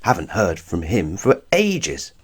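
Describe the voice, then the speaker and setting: A polite, cheerful Christmas character from a children's storybook, voiced by a man reading aloud. He sounds eager and courteous, with a highly animated pitch. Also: takes it slowly, slightly rough, heavily acted. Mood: friendly.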